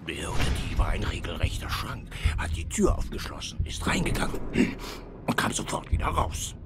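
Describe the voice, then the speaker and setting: A man's voice: sits low, sing-song, normal speed, over loud music. An actor playing a blind, prickly, older homeless goat man in a video game, sardonic and grumbling. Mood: wry, secretive. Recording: clear background noise.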